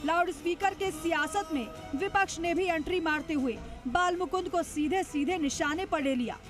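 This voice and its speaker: A woman narrates a scripted news report in a very high voice. Her delivery is dramatic and emphatic. Clear background music runs under her words. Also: normal speed.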